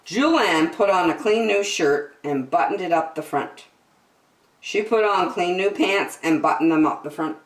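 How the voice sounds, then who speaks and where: A woman reads a children's story aloud at an unhurried pace. Her pitch swings widely as she stresses words with care, and the room sounds echoey. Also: far from the mic. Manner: expressive, with deliberate emphasis. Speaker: children's story-time reader. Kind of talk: animated picture-book read-aloud.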